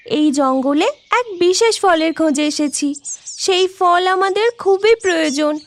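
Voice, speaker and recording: Woman as an earnest, very high-voiced fairy. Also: a little slow, dry recording. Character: decisive young female fairy of a magic city. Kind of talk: fairy-tale cartoon dialogue.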